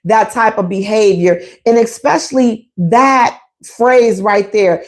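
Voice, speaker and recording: A woman's voice: mid-range voice, slow. A woman, hosting a casual relationship advice talk, emphatic and conversational, repeating her points.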